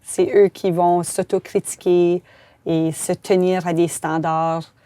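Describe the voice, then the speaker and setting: A woman's voice: lowish voice, little pitch movement, slow pace, dark tone, clear, resonant tone, no echo. A Franco-Manitoban woman host chatting frankly in an interview, with many fillers. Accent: Franco-Manitoban French. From Manitoba, Canada. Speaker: host and columnist. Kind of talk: casual interview conversation.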